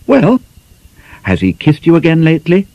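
A man's voice: on the high side, huge pitch swings, normal speed, vocal fry, mellow, no echo, lo-fi sound, pitched above the performer's own voice, full character voice. A man voicing a mischievous nineteen-year-old young woman who needles her friend with gleeful mockery. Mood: teasing.

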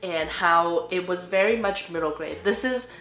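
A woman's voice: fairly low pitch, normal speed, fairly bright tone, soft background music. A young woman talks through her recent reads in an easy, chatty way, and her blocked nose makes her sound nasal. She leans on words like "really, really" for emphasis. The room around her has a noticeable echo. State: stuffy nose, nasal from allergies. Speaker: book vlogger. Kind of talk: casual book review chat. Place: living room with bookshelves, indoors.

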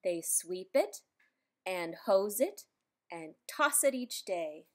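A woman reading a children's picture book aloud, adding playful, expressive asides for young listeners. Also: medium pitch, takes it slowly. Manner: playful, expressive, simple child-directed delivery with exclamations. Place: indoors in a room at home.

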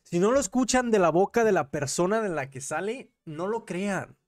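A young man with a Mexican accent and a fairly high-pitched voice. He talks like a livestream commentator, informal and full of slang. His sentences ramble and double back as he corrects himself, spoken close into a desk microphone in a small room at home. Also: lively intonation, moderate pace. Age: young adult. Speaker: commentary streamer and host. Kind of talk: casual livestream commentary on news and gossip. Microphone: close desk microphone on a boom arm.

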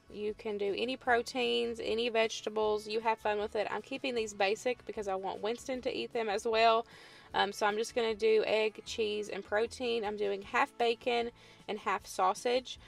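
A woman's voice: a little high, steady tone, moderate pace, gravelly, soft background music. A woman in her thirties with a Southern US accent, casually explaining her home cooking in a voice-over. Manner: chatty, friendly how-to explaining with "y'all" and "um". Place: home kitchen.

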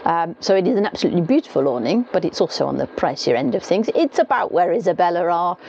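A woman's voice: lowish voice, sing-song, fast, vocal fry, dark tone, slight background noise. A woman in her forties chatting to camera inside a small caravan, rambling and correcting herself as she goes, with rain clattering on the roof. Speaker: caravanning vlogger. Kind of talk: casual talk to camera. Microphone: camera across the table. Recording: dull lo-fi sound with faint rain.

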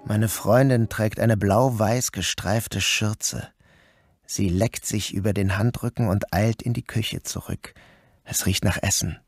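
An anxious, guilt-ridden man who narrates his own story in a radio play. His voice is on the low side, measured and deliberate, with a literary, dramatic delivery. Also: steady tone, breathy edge. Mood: uneasy dread. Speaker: narrator and lead actor.

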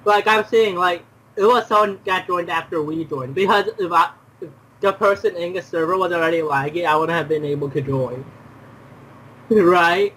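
A man's voice: sits high, moderate pace, a bit bright, slightly rough, poor audio. A young man chatting casually during an online game, often repeating himself and exclaiming. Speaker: gamer.

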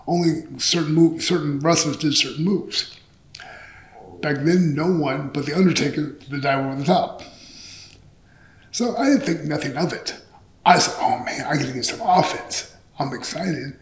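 A middle-aged man telling stories casually in an interview, candid and profane, acting out bits of dialogue. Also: fairly high pitch. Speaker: interview guest, pro wrestler. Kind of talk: animated casual storytelling. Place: small indoor interview set on a couch. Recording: roomy echo.

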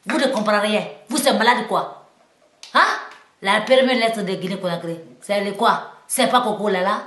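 Echoey Guinean woman, broken French. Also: medium pitch. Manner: simple, broken French with frequent restarts, growing heated and emphatic. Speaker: singer and musician. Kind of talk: interview.

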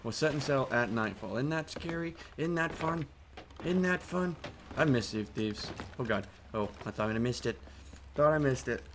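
A man with a put-on British accent, giving casual, excitable commentary over his own gameplay and swearing as he reacts. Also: medium pitch, normal speed, slight background noise. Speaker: gaming channel host.

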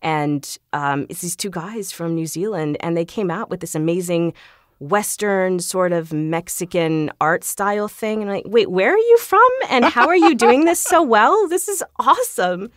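A woman's voice: medium pitch, animated, normal speed, dry recording, clean studio sound. A woman voice actor guesting on a podcast, bubbly and laughing, with frequent ums. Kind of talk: casual interview.

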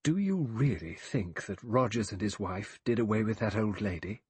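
A man's voice: fairly low pitch, animated, normal speed, darkish tone, husky, dry recording. A man voicing a nervous young woman who is fighting for composure in an audiobook, hesitant and anxious. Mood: uneasy.

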